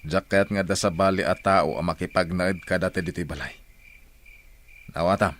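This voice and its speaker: A man's voice: low voice, fairly even intonation, moderate pace, a bit bright. A man playing a stern, unyielding, domineering husband in an audio drama. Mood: cold, threatening. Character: stern, unyielding husband rejecting his wife's child.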